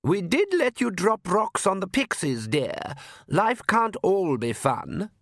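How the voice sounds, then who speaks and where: Vampire matriarch , played by a male narrator: high, motherly, proper and commanding, storybook fantasy. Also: big pitch swings, slow pace, no echo, studio quality, exaggerated voice. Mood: indulgent.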